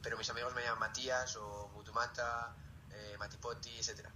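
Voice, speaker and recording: Bright-voiced Spanish teenage boy. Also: mid-range voice, flat delivery, a little fast, slight background noise, poor audio. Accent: Peninsular Spanish. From Zaragoza, Spain. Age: late teens. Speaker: interviewee, student. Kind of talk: casual interview answers.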